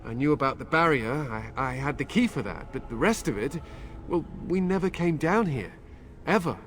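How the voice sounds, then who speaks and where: Earnest, anxious village man, higher voice. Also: expressive, normal speed, fairly bright tone. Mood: anxious, pleading. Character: grieving, anxious village man, bitter son of a dead mage. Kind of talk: dramatic video game acting.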